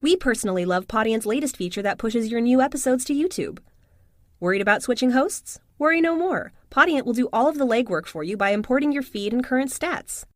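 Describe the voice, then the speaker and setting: Dry, higher-voiced woman reading an advert. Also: expressive, a little fast, dry recording. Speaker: advert announcer. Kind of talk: scripted promotional voice-over.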